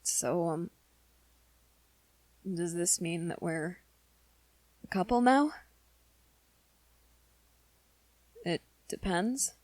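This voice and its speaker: A woman voicing a shy, earnest, lovestruck young male werewolf in an ASMR romance roleplay, with halting, hesitant, soft-spoken delivery. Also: on the low side, lively intonation, no echo. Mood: shyly happy.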